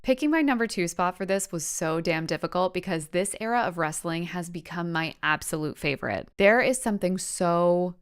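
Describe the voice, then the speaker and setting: A woman's voice: fairly low pitch, normal speed, few pauses, clear, resonant tone, soft background music. A woman hosting a vlog, giving enthusiastic commentary in an informal, slangy, effusive way. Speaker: host.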